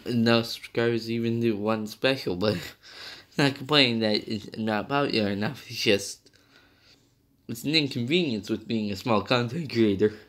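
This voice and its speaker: A man's voice: mid-range voice, a bit bright. A young man reviewing a video game in a rambling, casual way, with many fillers and self-corrections, still slightly nasal from being sick.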